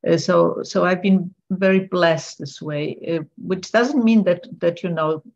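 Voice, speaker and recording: A woman's voice: on the low side, measured pace, gravelly, a little mellow. An older woman from Poland, a guest on a video call interview, speaking earnestly with many small restarts and repeated words. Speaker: guest author. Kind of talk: casual interview chat. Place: bright room at home. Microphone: earbuds on a video call. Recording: dull lo-fi video call audio.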